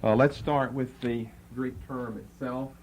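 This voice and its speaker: A man giving a Bible lecture to a class, spelling out words letter by letter and repeating key terms slowly. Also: mid-range voice, fairly even intonation, a little hiss or hum. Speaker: Bible teacher. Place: classroom with students writing.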